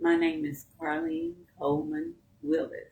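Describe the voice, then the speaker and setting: A woman in her seventies from Texas, answering interview questions at home in a soft-spoken way, with brief replies. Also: high voice, very animated, measured pace, vocal fry, rough recording. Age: 70s. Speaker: interview guest. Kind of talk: casual conversation at home. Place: living room of her home.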